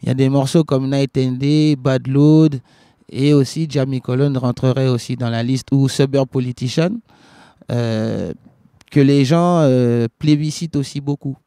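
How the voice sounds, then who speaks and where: A man who grew up in Liberia, a reggae singer answering interview questions in a halting way, with many restarts and fillers. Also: medium pitch, steady tone, warm tone, clean tone, no room sound, clean studio sound. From West Africa, grew up in Liberia.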